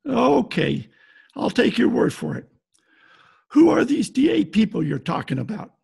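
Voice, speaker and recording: An actor playing a blunt, wry private detective in an old-time radio play, with clipped noir delivery. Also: male voice, very high voice, sing-song, a little slow, vocal fry, a little mellow, slightly rough, full character voice. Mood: probing. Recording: home video-call recording.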